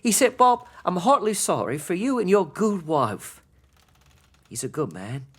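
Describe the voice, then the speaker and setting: A man's voice: high-pitched, sing-song, measured pace, fairly bright tone, slightly rough, heavily acted. An actor playing a loving, grieving father who keeps a brave face, speaking tenderly and earnestly in a radio play. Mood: brave composure. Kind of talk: theatrical radio-play acting.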